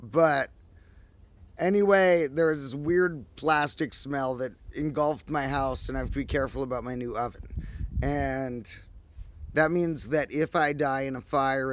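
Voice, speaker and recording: A man hosting a casual livestream, his voice slightly hoarse, telling a rambling story with animated asides. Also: medium pitch, no echo. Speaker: host. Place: outdoors, windy.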